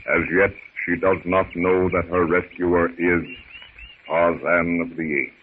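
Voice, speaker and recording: A man narrating a 1930s radio adventure serial in a dramatic, measured storytelling manner. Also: deep voice, flat delivery, a little hiss or hum. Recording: very muffled 1930s radio recording.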